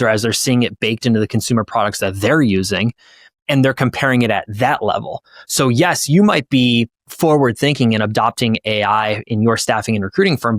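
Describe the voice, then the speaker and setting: A man's voice: mid-range voice, dry recording, studio quality. A man hosting a podcast, giving conversational commentary and restating his points for emphasis. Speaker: podcast host.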